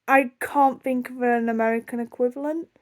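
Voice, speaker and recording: A woman's voice: fairly high pitch, fairly even intonation, normal speed, darkish tone. A young woman with a British accent rambling casually through a storytime vlog, chatty, self-deprecating and easily sidetracked. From United Kingdom. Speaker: video blogger.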